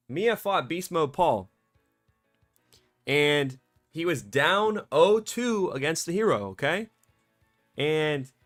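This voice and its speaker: Excitable slangy man, slightly scratchy. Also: on the high side. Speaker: streamer. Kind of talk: casual live-stream chat. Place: warm home room at his computer.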